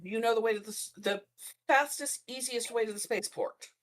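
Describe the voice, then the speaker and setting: A woman's voice: on the high side, fairly quick. A woman playing a tabletop roleplaying game, talking casually and in character.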